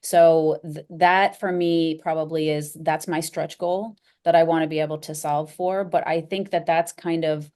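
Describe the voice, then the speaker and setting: A woman's voice: deep voice, flat delivery, a little slow, hardly any pauses, darkish tone. A woman speaking as an interview guest, candid and self-deprecating, laughing easily as she talks. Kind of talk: casual conversational interview.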